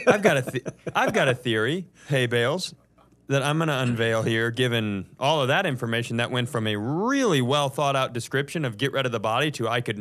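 A man's voice: medium pitch, fairly bright tone. A man co-hosting a comedy podcast, bantering casually in a teasing, mock-prosecutorial way.

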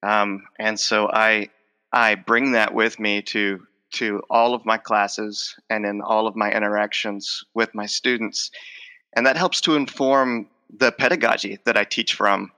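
A man's voice: on the low side, fairly even intonation, measured pace, sharp tone, smooth. A man in his forties, a speech professor who stutters, repeating words and restarting as he answers interview questions. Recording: long hall reverb.

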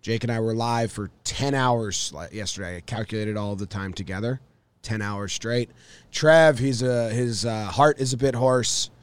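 A man with a hoarse voice hosting a casual sports podcast from a studio, joking and telling animated stories. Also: lowish voice, moderate pace, no echo. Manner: jokey, animated storytelling. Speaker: podcast host.

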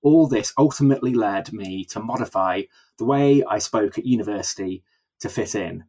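A man's voice: on the low side, normal speed, a little mellow, breathy edge. A man from the English Midlands, hosting a casual news podcast, speaking hesitantly with many fillers and stammered restarts. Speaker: podcast host.

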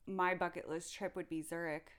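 A young woman hosts a podcast and asks friendly, curious questions in an easy, casual way. Her voice sits a touch low for a woman. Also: flat delivery, moderate pace, a bit bright. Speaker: podcast host. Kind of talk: casual interview.

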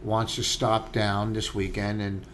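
A man's voice: fairly low pitch, flat delivery, unhurried, a bit bright, slight background noise. A man co-hosting a comedy podcast, teasing and prodding in casual banter.

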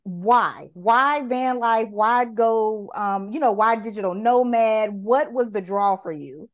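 A woman hosting a podcast interview, chatty and enthusiastic as she asks her questions. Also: medium pitch. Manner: chatty and enthusiastic, quick to laugh. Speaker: podcast host. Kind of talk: casual interview.

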